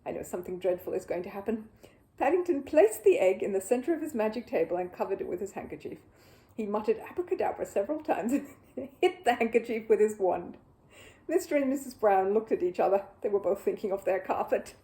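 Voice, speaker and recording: A woman narrates a children's storybook with a soft, mellow tone. Her pitch moves around a great deal, giving the reading a lively, expressive shape. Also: brisk. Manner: animated.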